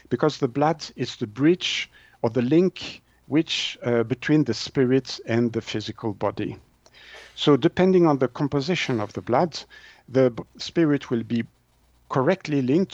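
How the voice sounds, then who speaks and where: A man with a French-speaking Swiss accent, native in French, gives explanatory answers as a guest. He searches for words and often restarts in a halting way. The sound is dry and slightly dull, like lo-fi audio. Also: medium pitch, darkish tone, no echo. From Switzerland. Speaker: guest, naturopath and author.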